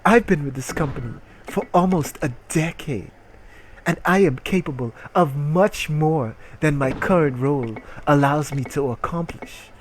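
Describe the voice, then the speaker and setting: A man's voice: fairly high pitch, unhurried, a little hiss or hum, natural voice, low-quality recording. A man voicing a polite, firm and earnest female employee in a story reading. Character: assertive female employee asking her boss for promotion.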